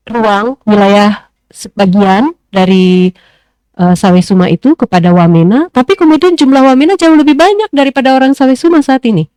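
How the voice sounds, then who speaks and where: A woman panelist speaking in a discussion, thoughtful and pausing often with fillers as she reasons aloud. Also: on the high side, a little mellow, smooth, no echo.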